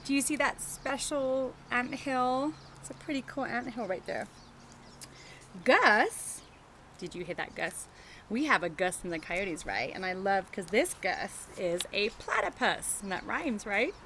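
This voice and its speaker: A woman teacher doing an animated read-aloud of a picture book for young children, chatting and asking them questions as she goes. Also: fairly high pitch, measured pace, slight background noise. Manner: engaging the children with questions and tag questions.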